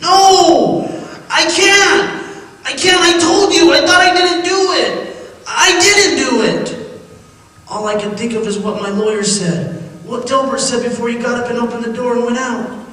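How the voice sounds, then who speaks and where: A condemned man in his death cell, desperate and haunted, with a very high voice. His delivery is dramatic old-time radio theatre. It sounds far off in a deeply reverberant hall. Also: measured pace, pushed and bright, heavily acted. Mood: panicked. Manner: pleading, dramatic. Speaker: actor and narrator in a radio play. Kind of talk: old-time radio theatre acting, first-person narration. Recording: distant echoey hall, long reverb, faint music.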